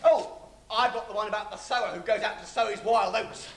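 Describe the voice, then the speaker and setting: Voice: medium pitch, steady tone, a little fast, lightly acted. A performer playing a grumpy, cheeky schoolboy brother in a stage pantomime, grumbling and answering back. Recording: echoey stage recording with faint noise.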